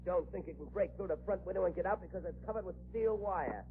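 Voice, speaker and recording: Snarling crime boss, old scratchy radio. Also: male voice, sits high, fast. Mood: frantic, urgent. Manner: snarling, bullying commands. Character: ruthless crooked broker, arsonist gang boss. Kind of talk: 1940s radio crime drama acting. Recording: scratchy old radio broadcast, muffled, hum.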